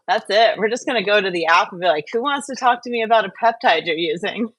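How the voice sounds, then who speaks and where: A woman's voice: medium pitch, quick pace, a bit bright, no room sound, rough recording. A woman hosting a show in a casual, conversational chat style. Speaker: show host.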